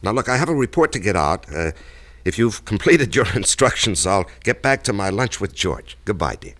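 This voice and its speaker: An actor playing an anxious, evasive corporate executive who stammers and hesitates, in old radio drama style. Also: male voice, lowish voice, big pitch swings, moderate pace, fairly bright tone. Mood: evasive, placating.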